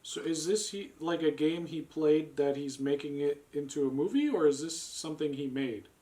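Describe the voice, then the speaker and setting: A man's voice: fairly high pitch, moderate pace, warm tone. A man hosting a reaction show, chatting casually with a group. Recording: noticeable background noise.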